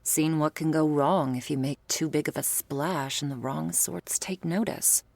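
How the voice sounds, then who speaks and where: A woman playing a stern but devoted vampire lover in an ASMR roleplay, speaking in a formal, measured, affectionate way. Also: low-pitched, fairly even intonation, gravelly, a little mellow, no echo, exaggerated voice. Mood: grave, firm.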